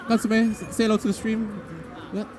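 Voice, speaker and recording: A man's voice: sits high, flat delivery, background noise. A man hosting a live stream and interviewing players in a loose, casual way. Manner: rapid and loose, with many fillers and restarts.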